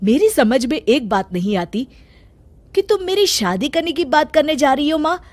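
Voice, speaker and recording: A woman's voice: high voice, very animated, moderate pace, darkish tone, no echo, voice pushed up. A woman voicing an exasperated, whiny young married son in a cartoon family drama, with a complaining, protesting manner.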